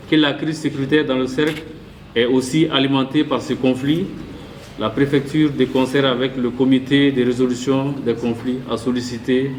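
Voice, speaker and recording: A man reads a formal official address at a middling pitch. The room echoes around his voice, and there is faint background noise. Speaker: local official addressing participants. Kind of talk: formal read speech.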